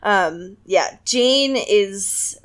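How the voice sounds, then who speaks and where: A woman's voice: mid-range voice, expressive, drawn-out words. A woman podcast co-host in casual, dramatic fangirl chat about a book, full of slang and giggles. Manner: giggly, laughs often.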